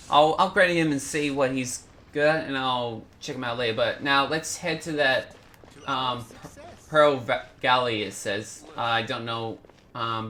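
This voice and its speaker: A man hosting a gaming video, giving casual, halting commentary as he thinks aloud. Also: medium pitch, sharp tone.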